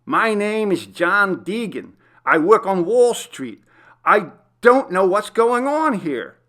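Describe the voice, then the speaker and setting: Man acting out a frantic prisoner, pitched high. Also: very animated, slow pace, sharp tone, heavily acted. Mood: panicked, screaming. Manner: desperate, pleading, emphatic. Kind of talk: audiobook character acting.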